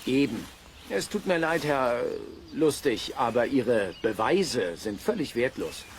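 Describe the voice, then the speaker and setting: Skeptical, animated man in radio play. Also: medium pitch, takes it slowly, a little hiss or hum. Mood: dry, dismissive. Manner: dry, dismissive. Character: skeptical, self-assured male dog owner in the forest. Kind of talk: German children's radio play acting.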